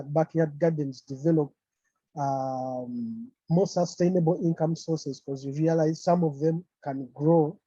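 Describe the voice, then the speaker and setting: Husky, dark-voiced man on a call. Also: fairly high pitch, dry recording. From Uganda. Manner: hesitant, many fillers. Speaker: presenter. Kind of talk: online conference presentation. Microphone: video call. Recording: dull, lo-fi call audio.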